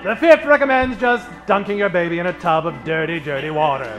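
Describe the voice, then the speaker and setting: A man's voice: sits high, brisk, faint music behind. A man announcing and narrating in a theatrical old-time radio parody style.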